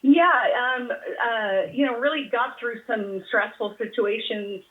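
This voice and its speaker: A woman's voice: fairly high pitch, takes it slowly. A woman talking casually as a patient on a call, hesitant and often trailing off between thoughts. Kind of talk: casual personal conversation. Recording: thin, band-limited phone call.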